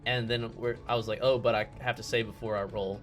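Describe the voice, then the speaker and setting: Male tabletop player, medium pitch, conversational delivery, light music underneath. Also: little pitch movement, fast talker, fairly bright tone. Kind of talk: casual table chat.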